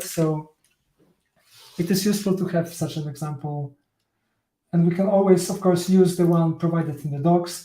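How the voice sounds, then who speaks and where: Polish-accented male tech presenter, slow and halting, filler-heavy delivery, mellow voice, roomy echo. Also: fairly high pitch. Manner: halting, many fillers and restarts. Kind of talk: live coding talk.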